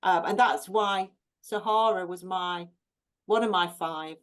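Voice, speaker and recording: A woman's voice: fairly low pitch, unhurried. A woman, a professional gardener, chatting about her favourite plants on a video call, with many ums and restarts. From England.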